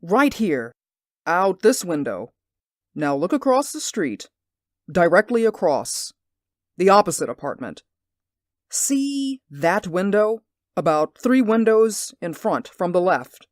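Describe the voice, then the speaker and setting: A woman's voice: lowish voice, very animated, slow, no echo, studio quality. A woman playing an anxious, insistent man in a radio drama, speaking earnestly and emphatically. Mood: urgent. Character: anxious, insistent male stage actor spying on neighbours.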